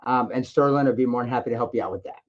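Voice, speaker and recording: Male call host, encouraging sales trainer, mid pitch, repeats himself, lo-fi conference line sound. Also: rapid-fire. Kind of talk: sales call question-and-answer. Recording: dull lo-fi conference call audio.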